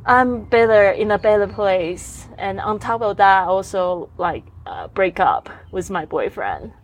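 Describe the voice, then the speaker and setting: A woman's voice: mid-range voice, lively intonation, measured pace, low-quality recording. Chatty woman, native Mandarin speaker. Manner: candid and chatty, with frequent fillers and restarts. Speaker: podcast host. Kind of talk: casual conversational interview.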